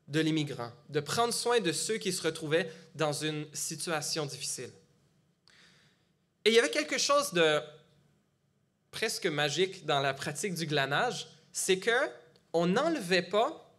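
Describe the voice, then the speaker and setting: A man's voice: sits high, fairly bright tone. A middle-aged man from Gatineau with a Quebec French accent, preaching a sermon in a conversational way with frequent fillers and restarts. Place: church.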